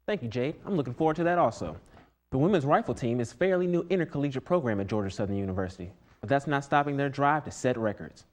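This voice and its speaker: Quick-talking young male news anchor. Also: mid-range voice. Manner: steady scripted delivery. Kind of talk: student newscast anchoring.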